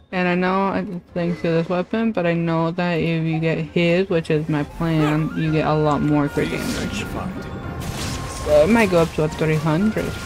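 A young woman chatting casually as she livestreams a game, in a halting, rambling way. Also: sits low, a little mellow, rough recording. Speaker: livestreaming gamer. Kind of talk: casual chat while playing a game. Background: video game music and combat sound effects.

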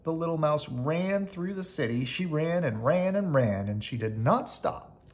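A storybook reader giving an expressive read-aloud narration for children. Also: mid-range voice, a little slow.

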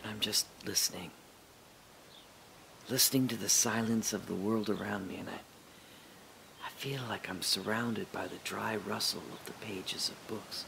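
A man performing a reflective audio drama monologue, speaking slowly and often dropping to a whisper. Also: on the low side, fairly even intonation, sharp tone, a little hiss or hum. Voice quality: slow, gravelly and husky, often dropping to a whisper. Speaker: radio drama narrator and lead.

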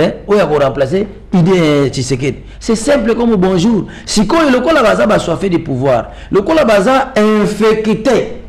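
A man's voice: high-pitched, darkish tone. A middle-aged man with a Congolese accent in a televised political discussion, speaking emphatically and repeating phrases for stress. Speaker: political commentator guest. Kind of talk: televised political discussion in mixed Lingala and French.

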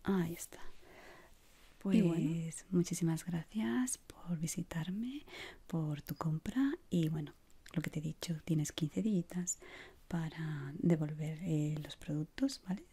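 A woman in her thirties with an accent from Spain, whispering softly and soothingly in an ASMR shop assistant roleplay, close to the mic. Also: deep voice, a little slow, slightly rough. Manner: soft, soothing whispering and murmuring. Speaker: ASMR creator playing a shoe shop assistant. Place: small indoor room.

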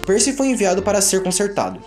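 Male narrator, Brazilian accent, for a children's story, highly animated over music. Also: fast.

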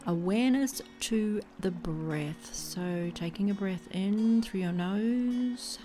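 A woman leading a guided body scan meditation in a soothing, gentle way, leaving pauses between phrases. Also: mid-range voice. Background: music.

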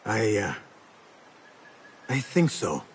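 A man's voice: lowish voice, very animated, extremely slow, a little hiss or hum, exaggerated voice. A man playing an earnest, hot-tempered young apprentice in a video game cutscene. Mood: subdued, earnest. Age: young adult.

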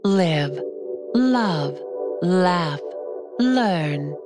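An actress playing an earnest, mystical announcer in a parody self-help book advertisement. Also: female voice, lowish voice, animated, very slow. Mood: dreamy, earnest. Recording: loud music under the voice.

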